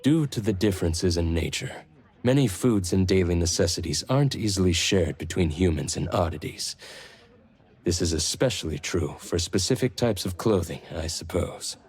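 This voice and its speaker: Composed anime game leader, deep pitch, courteous, formal, helpful, measured delivery. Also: male voice, lively intonation, a little mellow, breathy edge. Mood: thoughtful. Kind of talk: anime game dialogue acting.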